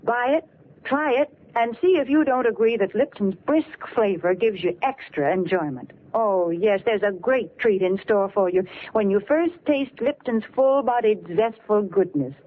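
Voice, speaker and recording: A woman's voice: mid-range voice, expressive, a little slow. A woman delivering a scripted old-time radio commercial in a cheerful, persuasive manner. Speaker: show's commercial spokeswoman. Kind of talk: scripted 1940s radio advertising. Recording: faintly noisy lo-fi vintage radio recording.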